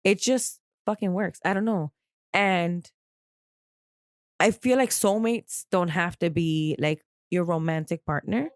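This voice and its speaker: A woman's voice: fairly low pitch, a little slow, no echo. A woman co-hosting a podcast, nasal from crying, talking candidly and in a rambling way about a close friendship. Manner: candid and rambling, with casual swearing. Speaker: podcast co-host. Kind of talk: casual heartfelt chat.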